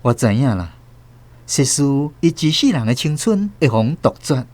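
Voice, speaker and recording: Radio drama narrator, an earnest nephew, Taiwanese accent, mid-pitched with lively swings. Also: male voice, moderate pace, dry recording. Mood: sorrowful, reflective. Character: earnest nephew recalling his family's sorrows.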